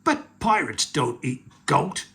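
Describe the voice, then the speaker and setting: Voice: medium pitch, very animated, slow, breathy edge, full character voice. A storyteller voicing a bossy male pirate chief in a children's storybook, who barks out orders. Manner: loud, commanding calls. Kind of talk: storybook read-aloud.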